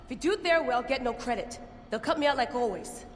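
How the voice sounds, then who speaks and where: A woman's voice: high voice, lively intonation, brisk, a little hiss or hum. An actress playing a stubborn, driven young female researcher, insistent and headstrong. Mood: determined. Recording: reverberant cave.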